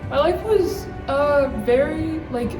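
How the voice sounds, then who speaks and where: A teenage woman, a high school senior, speaking haltingly in an interview and searching for her words. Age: late teens. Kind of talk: documentary interview. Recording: echoey room, mic far off. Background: background music.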